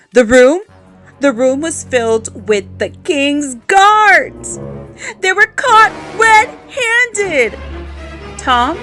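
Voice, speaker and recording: A woman telling a children's bedtime story aloud in an expressive way, adding playful sound effects. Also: on the high side.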